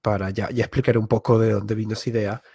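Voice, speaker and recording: A man from Venezuela, a YouTuber giving a live online masterclass, thinking aloud with filler pauses and frequent self-corrections. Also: on the low side, level tone, gravelly, warm tone, breathy edge, dry recording, studio quality. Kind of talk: live-streamed talk.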